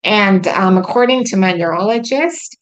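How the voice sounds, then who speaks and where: A woman hosting a casual chat, talking earnestly about her treatment with many pauses and ums. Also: medium pitch. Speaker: host. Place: lives in Quebec.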